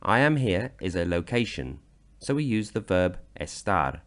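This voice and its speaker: A man from Britain narrating a slideshow grammar lesson, explaining slowly and deliberately. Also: sits low, very animated, fairly bright tone. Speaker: language teacher.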